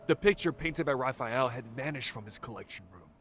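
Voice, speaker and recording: An actor voicing an anxious, gossip-hungry middle-aged tabloid reporter in a game, nervous and dramatic in delivery. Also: male voice, medium pitch, lively intonation, brisk, fairly bright tone, faint music behind, lightly acted. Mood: eager, hushed gossip. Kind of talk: English game voice acting.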